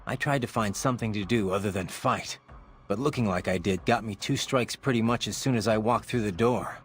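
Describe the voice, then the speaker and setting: An actor playing a brooding, cynical cyborg mercenary in a video game, gruff and terse. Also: male voice, on the low side, fairly quick, lightly acted. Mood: wry, reflective. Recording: music behind.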